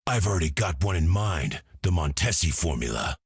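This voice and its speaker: A grim vigilante who guards the night in a video game. His voice is deep and heavily rasped, and he speaks tersely. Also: very animated, normal speed, dry recording, clean studio sound, heavily acted. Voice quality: husky, raspy. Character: grim male vigilante serving a night god.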